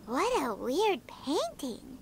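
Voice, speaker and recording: A woman voicing a tiny, childlike fairy companion in a video game, timid and excitable, with a squeaky, whiny delivery. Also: slow, noisy background, exaggerated voice. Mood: uneasy, curious. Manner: refers to self in third person, whiny.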